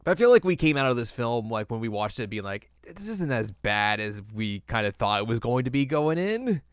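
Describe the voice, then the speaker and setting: A man's voice: medium pitch, big pitch swings, fairly quick, clean tone, dry recording. A man hosting a podcast in a casual, chatty style. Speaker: podcast host. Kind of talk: casual chat about video game films.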